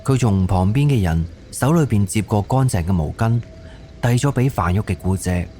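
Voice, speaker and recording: A man's voice: lowish voice, lively intonation, moderate pace, warm tone, soft background music. A man with a Cantonese accent narrating a scripted story for an audio drama.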